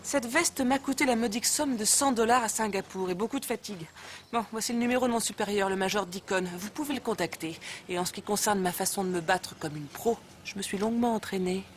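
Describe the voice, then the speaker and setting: A woman dubbing a tough female army crime investigator in a French film, speaking calmly, curtly and firmly. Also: mid-range voice, fast, lightly acted. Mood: steady, dry. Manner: calm, curt and firm. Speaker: army lieutenant. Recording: film soundtrack with street noise.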